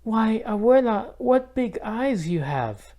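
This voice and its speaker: A man's voice: sits high, sing-song, slow, warm tone, pitched above the performer's own voice, heavily acted. A man voicing a polite, brave and clever young girl in a children's storybook read-aloud. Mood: wondering.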